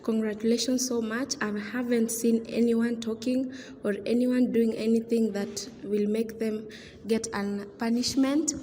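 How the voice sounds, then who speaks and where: A woman's voice: a little high, steady tone, measured pace, dark tone, lightly acted. A woman acting as a formal school teacher addressing students in a radio drama. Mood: appreciative, formal. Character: strict but appreciative female school teacher addressing assembled students. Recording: long hall reverb, clear background noise.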